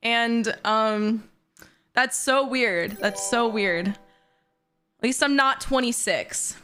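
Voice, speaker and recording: Bubbly twenties woman streamer, high bright voice, squealy, excitable. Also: slow. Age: 20s. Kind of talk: casual livestream chat. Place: her bedroom.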